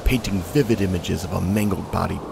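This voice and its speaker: A man reads a story aloud in a measured, steady manner. His voice is very low with a gravelly fry, and clear background noise runs under it. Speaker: narrator. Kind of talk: scripted story narration. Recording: noisy recording.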